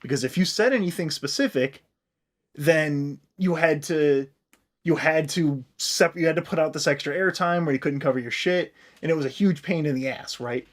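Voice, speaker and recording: An American man co-hosting a comedy podcast, explaining history in casual, profane, animated chat. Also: on the high side, normal speed. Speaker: podcast co-host.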